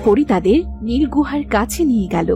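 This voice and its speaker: A woman's voice: on the high side, animated, fairly quick, vocal fry, over music. A woman narrating a scripted children's story.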